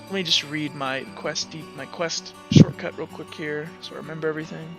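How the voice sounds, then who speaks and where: A man's voice: fairly high pitch, steady tone, a little fast, over music. A young man running a tabletop roleplay game as game master, talking casually with many fillers and restarts.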